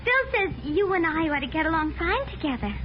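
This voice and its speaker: A high, coy American moll. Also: female voice, big pitch swings, brisk. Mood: coaxing. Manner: coy, coaxing, naive-sounding. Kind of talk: 1950s radio drama acting. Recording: muffled, noisy vintage radio recording.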